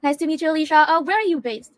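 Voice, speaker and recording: A woman's voice: high voice, rapid-fire, no echo, clean studio sound, faint music behind. A woman hosting a livestream interview, bubbly and laughing often as she asks questions. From Philippines. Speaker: livestream host.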